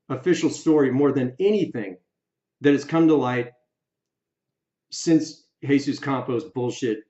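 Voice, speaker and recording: Guy doing opinion video commentary, medium pitch, emphatic short phrases, echoey room, kinda dull audio. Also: darkish tone. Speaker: YouTube video creator. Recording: roomy echo, slightly dull sound.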